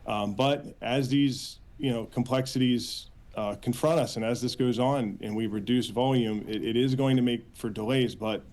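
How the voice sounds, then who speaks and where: An American man with a mid-pitched voice, speaking as an official being interviewed on the news. He is earnest and halting, often repeating words as he builds a sentence. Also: a little mellow. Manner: halting, hesitant phrasing with frequent repeats, earnest. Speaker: union official, interview guest. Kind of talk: spoken news interview answers.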